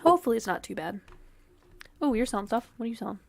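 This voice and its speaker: A woman's voice: on the high side, big pitch swings, quick pace, vocal fry, a little hiss or hum. A woman streamer with a sniffly, dry throat, casually thinking aloud and swearing while she plays a game. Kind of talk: casual game livestream commentary.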